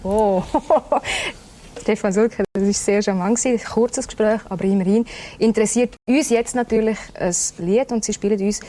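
A woman hosting a radio quiz show, announcing songs and briefly questioning players in a brisk, announcer-like way. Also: mid-range voice, dark tone. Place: radio studio with players present.

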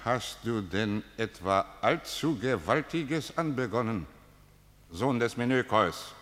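Accusing city elder, hall reverb. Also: male voice, mid-range voice, expressive, takes it slowly, a bit bright. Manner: formal declamation. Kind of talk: stage-like verse radio drama.

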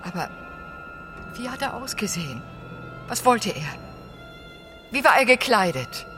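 Radio drama: credulous, wondering woman, slightly high voice, music underneath. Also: big pitch swings, a little slow, fairly bright tone. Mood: wary, curious. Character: gullible, wary, wondering lone woman. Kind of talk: German radio play acting.

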